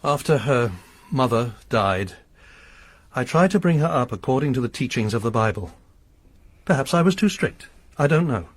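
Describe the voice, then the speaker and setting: Brief: a vengeful, Bible-quoting lodger for a radio play, husky, with a very dry, close sound. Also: male voice, mid-range voice, lively intonation, normal speed, no echo. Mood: solemn. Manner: formal, sermonizing, quotes scripture. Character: grim pious lodger, grieving vengeful father. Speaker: lodger under arrest. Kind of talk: radio drama acting.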